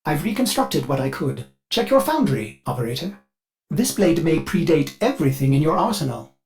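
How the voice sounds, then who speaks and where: An anxious, devoted ship computer for a video game. The delivery is very animated, swinging up and down with theatrical formality. It sounds like a fussy, solicitous servant. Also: male voice, on the high side, moderate pace, faint music behind. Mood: earnest. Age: older. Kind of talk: scripted video game voice acting.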